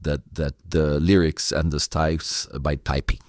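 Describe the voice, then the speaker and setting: Very deep-voiced man with halting foreign-accented English. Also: flat delivery, a little mellow, no room sound, studio quality. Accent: non-native English. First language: not English. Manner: halting, stammering, repeats words. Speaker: host. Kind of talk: interview chat.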